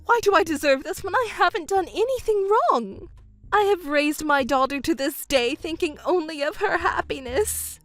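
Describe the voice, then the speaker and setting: A very high, animated voice wails and protests as a selfish middle-aged mother acted out by a woman. She is whiny, indignant and self-pitying, delivered as melodramatic family drama over faint music. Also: normal speed, no echo. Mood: distraught disbelief. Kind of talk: scripted family drama narration.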